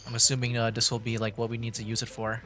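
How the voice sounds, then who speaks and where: A man streaming a game, casually commenting as he works through puzzles, thinking aloud with halting restarts. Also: mid-range voice, completely flat delivery, fairly bright tone, soft background music.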